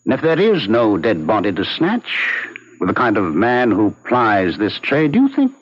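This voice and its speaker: Domineering, worldly young schemer for radio drama: raspy, swooping intonation, cynical, dull vintage sound. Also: male voice, fairly low pitch, wildly swooping pitch, normal speed, full character voice. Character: charismatic, cynical young male medical student, commanding schemer. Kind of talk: old-time radio drama acting. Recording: muffled old radio recording.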